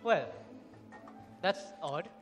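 A man playing a courteous, probing, wealthy gentleman suitor in a stage comedy. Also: sits high, animated, extremely slow, exaggerated voice. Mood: courteous, suspicious. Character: smooth, polite, wealthy gentleman suitor from London. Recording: echoey hall with music.

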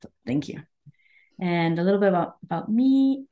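A woman from Mexico and Guatemala, a wellness coach, telling her story in an earnest, unhurried interview voice with pauses while she searches for words. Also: on the low side, expressive, phone-quality sound. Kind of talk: conversational interview.

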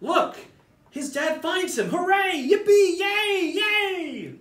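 A man voicing excitable little monkeys from a storybook in a childlike, dramatic way. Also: extremely high pitch, slow, pitched above the performer's own voice, heavily acted. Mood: gleeful. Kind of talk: storybook character voice. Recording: roomy echo, distant mic.

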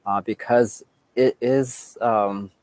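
A man in his forties from Canada telling his story on a video call, relaxed and full of fillers, outdoors with earbuds. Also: fairly low pitch, slow, no echo. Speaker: guest speaker. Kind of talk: casual personal story. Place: outdoors by a beach. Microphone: earbud headset on video call.

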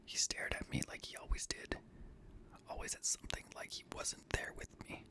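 A young man reading scary stories in a hushed, breathy ASMR whisper, gently, close to a studio microphone. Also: moderate pace. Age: young adult. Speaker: host and reader of scary stories. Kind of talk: whispered ASMR horror story narration. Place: small indoor home studio room. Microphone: close boom-arm studio microphone.

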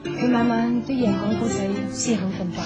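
A woman's voice: on the high side, fairly even intonation, moderate pace, loud music behind the voice, lightly acted. An actress playing a guarded, bitter young mother in a radio drama, speaking coolly and curtly. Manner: cool, guarded and curt.

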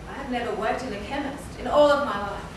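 A woman acting an unemployed would-be stage director in an amateur play, hesitant and deliberate. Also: fairly high pitch, background noise, lightly acted. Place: indoor theatre stage before an audience. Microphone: far camera. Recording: echoey theatre stage, distant camera mic, music.

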